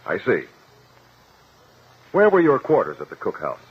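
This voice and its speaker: An actor playing a firm, formal lawyer, probing and commanding, in a 1950s radio courtroom drama. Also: male voice, on the low side, wildly swooping pitch, measured pace, husky, exaggerated voice. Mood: pressing. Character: firm, probing lawyer cross-examining a witness. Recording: muffled 1950 radio broadcast.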